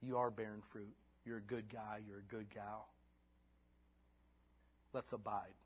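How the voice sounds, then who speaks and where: A man in his fifties preaching a sermon in church. He swings between hushed, intimate lines and fervent, rising exhortation, then closes in prayer. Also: fairly low pitch, flat delivery, unhurried, slightly rough. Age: 50s. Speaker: pastor.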